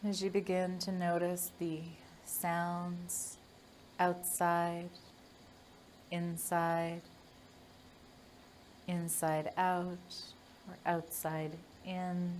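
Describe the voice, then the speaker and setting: A woman leading a guided meditation in a gentle, soothing manner, leaving long pauses between phrases. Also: lowish voice, a little hiss or hum.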